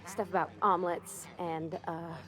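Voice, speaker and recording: A woman's voice: on the low side, little pitch movement, moderate pace, a crowd in the background, close to an everyday voice. A woman voicing a friendly, folksy companion in a video game, chatty and homespun.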